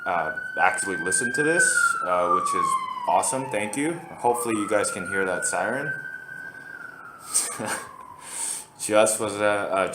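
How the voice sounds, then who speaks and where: A man's voice: sits low, echoey room, background noise. A man from Korea, hosting a casual solo podcast and rambling with lots of fillers and restarts. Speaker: podcast host.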